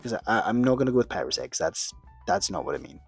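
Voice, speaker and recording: A man's voice: medium pitch, very animated, fast talker, vocal fry, breathy edge, no room sound, soft background music. A young man, rambling through would-you-rather questions in casual chat, mocking himself, swearing offhand and restarting his sentences. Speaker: video creator answering questions.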